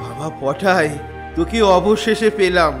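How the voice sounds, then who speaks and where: Man acting as elderly father, high-pitched, theatrical, doting yet exasperated, cartoon comedy, faint background music. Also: a little slow. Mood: relieved, affectionate.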